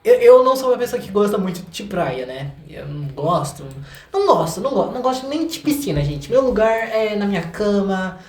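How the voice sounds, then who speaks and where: A young Brazilian man chatting casually as an interview guest, an animated storyteller who acts out quotes. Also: high-pitched, brisk, hardly any pauses. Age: young adult. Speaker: guest, singer and artist. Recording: echoey room with some background noise.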